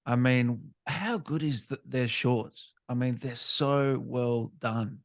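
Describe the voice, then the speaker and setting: A man's voice: medium pitch, slow pace. A man hosting a casual gaming interview, speaking conversationally as he asks questions and reacts. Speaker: interviewer, gaming channel host.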